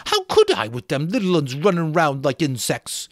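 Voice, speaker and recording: Shrill, indignant schoolboy. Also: male voice, wildly swooping pitch, normal speed, dry recording, clean studio sound. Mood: defensive, indignant. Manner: shrill, whining, protesting, gasping delivery. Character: indignant, panicky schoolboy outcast, scolding the others. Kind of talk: dramatic audiobook reading of a novel.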